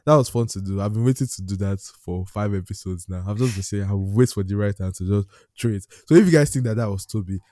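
A man's voice: fairly low pitch, fast, few pauses, dark tone, dry recording, clean studio sound. A young man with a Nigerian accent co-hosting a podcast, chatting casually in a playful, teasing way and laughing often. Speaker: podcast co-host. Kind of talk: casual chat.

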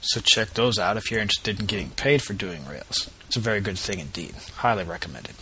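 A man's voice: on the low side, lively intonation, a little fast, sharp tone, slight background noise, rough recording. A man hosting a podcast, reading a casual news roundup and interviewing a guest in an upbeat way. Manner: casual and upbeat, with easy laughs. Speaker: podcast host.

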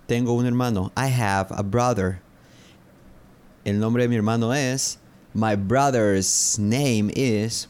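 A man, hosting a podcast English lesson and repeating phrases slowly and carefully for learners. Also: medium pitch, a little mellow. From Paraguay. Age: 30s. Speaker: English teacher, podcast host.

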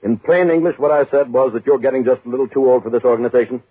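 A man's voice: medium pitch, racing, husky, no room sound, phone-quality sound, lightly acted. A man acting in a 1940s radio drama as a cold, impatient newspaper boss, brusque, clipped and authoritative. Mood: cold, businesslike. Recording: thin 1940s radio recording.

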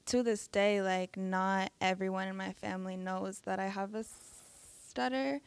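A woman's voice: medium pitch, creaky, smooth, no room sound. A young woman who stutters, sharing her experience at a live talk with frequent fillers and hesitations. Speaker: audience member sharing her story.

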